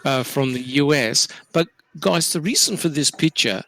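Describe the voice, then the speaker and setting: A man's voice: on the high side, expressive, normal speed, gravelly, a bit bright, dry recording. An Australian man hosting a casual group discussion, leading the talk with questions and lots of fillers. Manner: leads with questions, many fillers. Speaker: podcast host.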